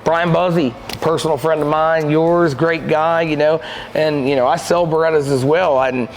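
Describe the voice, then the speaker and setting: Man, thirties, animated storyteller, casual podcast chat, lodge room, slight background noise. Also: on the high side, normal speed, rough recording. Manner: animated, gestures a lot, rambling storytelling. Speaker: podcast co-host and shooting club manager. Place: lodge room with stone fireplace, seated in armchair.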